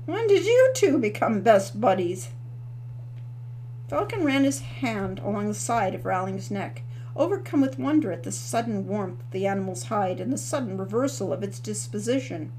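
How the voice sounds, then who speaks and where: A woman author reading her novel aloud as the narrator, in a steady storytelling manner. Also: mid-range voice, moderate pace, slight background noise, poor audio. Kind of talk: scripted audiobook narration.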